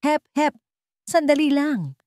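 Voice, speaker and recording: A man's voice: very high-pitched, big pitch swings, slow pace, darkish tone, no room sound, studio quality, voice pushed up, exaggerated voice. A woman voicing a scornful, bullying security guard. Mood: barking. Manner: gruff, bullying, contemptuous. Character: large, scornful, barking male security guard.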